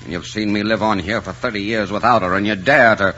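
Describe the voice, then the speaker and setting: An actor playing a stern, possessive older uncle with an emphatic, formal, commanding way of speaking in an old melodrama. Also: male voice, on the low side, steady tone, a little fast, pushed and bright. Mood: bitter, angry. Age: middle-aged. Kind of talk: old melodramatic film acting. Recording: muffled, noisy old film soundtrack.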